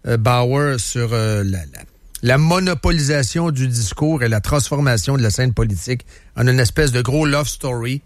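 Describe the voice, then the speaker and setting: A man's voice: medium pitch, no room sound. A man with a Quebec French accent hosting a casual radio chat, rambling and often restarting his words. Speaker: radio host. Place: radio studio.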